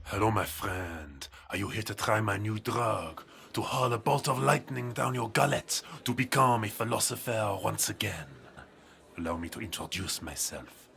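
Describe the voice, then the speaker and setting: An actor playing an eccentric, boastful coffee seller from abroad, speaking broken English with a foreign accent. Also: male voice, fairly low pitch, normal speed, a bit bright. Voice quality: gravelly, theatrical, laughing. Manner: grand salesman patter with broken English.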